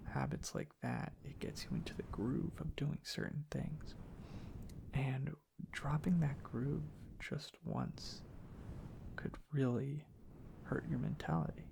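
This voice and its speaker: A man softly rambling in an ASMR style, hushed and halting, drifting into whispers close to the mic. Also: medium pitch, sing-song, vocal fry. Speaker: ASMR video creator. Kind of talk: soft-spoken ASMR ramble. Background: tapping and clicking.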